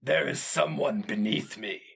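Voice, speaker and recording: A man voicing a stern, scowling hero mage in a dramatic fantasy reading, mild and deliberate in his menace. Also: medium pitch, creaky, fairly bright tone, slightly rough, exaggerated voice. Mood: stern, suspicious.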